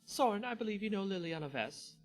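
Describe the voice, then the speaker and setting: A man's voice: high voice, animated, fairly quick, faint music behind, pitched above the performer's own voice. A man voicing a giddy, mock-polite female vampire aristocrat in a dramatic fantasy story reading. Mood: dainty politeness. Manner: mock-polite, playful, theatrical.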